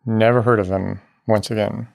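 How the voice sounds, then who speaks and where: A man's voice: fairly low pitch, fairly even intonation, normal speed, creaky, darkish tone. A man co-hosting a casual podcast, reacting with dry, teasing remarks. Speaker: podcast co-host.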